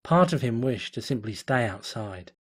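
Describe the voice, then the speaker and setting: A man reading a fan story aloud as narrator, in a steady, measured way. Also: mid-range voice, slightly rough. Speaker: fanfiction reader.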